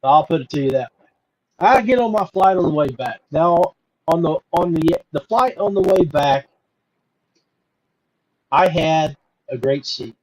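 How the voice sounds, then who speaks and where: A middle-aged or older man talks casually to his podcast audience in a fairly high male voice. His delivery is folksy and halting, wandering along with repeated words as he addresses viewers directly. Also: animated, a little mellow, slight background noise, low-quality recording. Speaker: podcast host. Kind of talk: casual rambling monologue to viewers.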